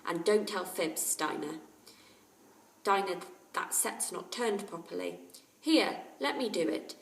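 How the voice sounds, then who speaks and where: Woman as a brisk, touchy schoolboy, roomy sound. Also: on the low side, animated, creaky, slight background noise, exaggerated voice. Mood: irritated. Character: brisk, touchy schoolboy, plain-spoken brother. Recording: roomy echo, distant microphone.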